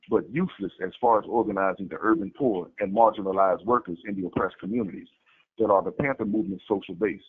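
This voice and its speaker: A man's voice: lowish voice, gravelly, husky. A man reading a scripted political manifesto in a formal, declarative manner, like an activist delivering a statement. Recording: tinny, phone-like narrow-band audio.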